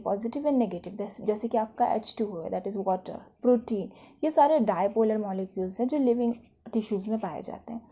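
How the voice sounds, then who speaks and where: A woman's voice: fairly high pitch, warm tone. A woman with an Indian accent giving an explanatory lecture, mixing in English technical terms and often checking that listeners follow. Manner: didactic, often checks understanding with short tag questions. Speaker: physiotherapy lecturer.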